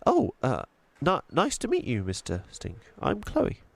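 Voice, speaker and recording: Awkward young schoolgirl, played by a man, shy, polite, hesitant, very up-and-down pitch, storybook reading. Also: wildly swooping pitch, measured pace, gravelly, no echo, exaggerated voice. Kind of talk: children's storybook character reading.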